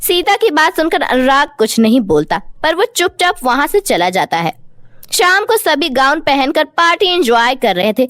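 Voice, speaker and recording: A woman's voice: sits high, big pitch swings, moderate pace, fairly bright tone, no echo, clean studio sound. A woman reading scripted narration for a moral story as its narrator.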